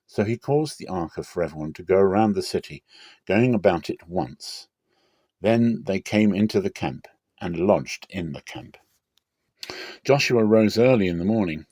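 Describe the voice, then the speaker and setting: A man giving a dramatic, solemn and deliberate narration of scripture as a reader. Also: lowish voice, darkish tone, no room sound.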